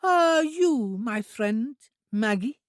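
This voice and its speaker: A reader voicing a childlike, eager young woman who speaks slowly in a high, sing-song, pleading way. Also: animated, no echo, clean studio sound.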